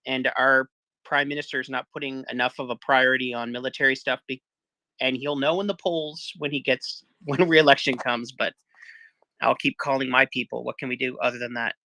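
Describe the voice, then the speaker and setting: A man's voice: medium pitch, fairly even intonation, fairly quick, bright, forceful tone, dry recording, poor audio. A man from Canada on a live audio panel, talking casually and informally with jokes. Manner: joking, informal. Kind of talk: casual discussion.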